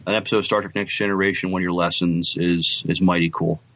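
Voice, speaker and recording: A man's voice: sits low, little pitch movement, quick pace, a bit bright, no echo. A man chatting casually about science fiction as a podcast co-host.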